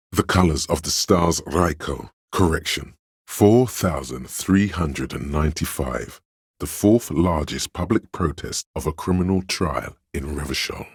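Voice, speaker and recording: A man voicing a know-it-all inner voice of encyclopedic trivia in a video game, measured, pedantic and dryly wry. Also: rumbling low, breathy edge, dry recording, clean studio sound, exaggerated voice.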